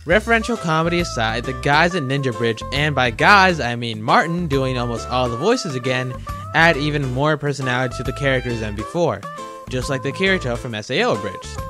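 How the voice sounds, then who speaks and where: A man's voice: mid-range voice, expressive, fairly quick. A man giving casual, wry review commentary in a conversational way, with rhetorical asides. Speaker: host of a countdown review video. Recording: music behind the voice. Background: guitar music.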